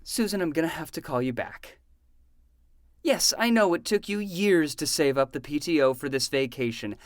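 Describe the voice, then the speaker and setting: A woman's voice: low voice, animated, moderate pace, no room sound, clean studio sound. A woman playing an exasperated, sarcastic male librarian who rants, in a fiction podcast.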